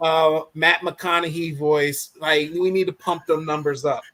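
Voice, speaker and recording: A man co-hosting a casual football podcast, animated and joking, laughing often. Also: a little high, unhurried, no echo. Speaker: podcast co-host. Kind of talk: casual sports podcast chat.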